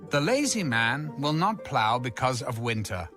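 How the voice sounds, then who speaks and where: A man delivers a scripture reading at a slow, deliberate pace. His pitch moves expressively and his phrasing is declamatory and measured. Music plays behind him. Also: big pitch swings. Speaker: audio Bible reader.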